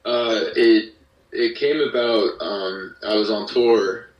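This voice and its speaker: A man being interviewed as a podcast guest, chatting casually in a hesitant, halting way. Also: on the low side, steady tone, lo-fi sound. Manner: hesitant, halting delivery with frequent hedging. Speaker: podcast guest, musician and creator of an audio drama. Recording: roomy echo, distant from the mic.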